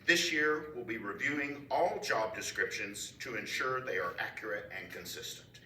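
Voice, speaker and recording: A man with a low, very bright voice reads a prepared committee statement at a church council meeting in an upbeat way. He pauses between phrases. The mic is far off in an echoey room with a faint hiss. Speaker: committee member giving a report.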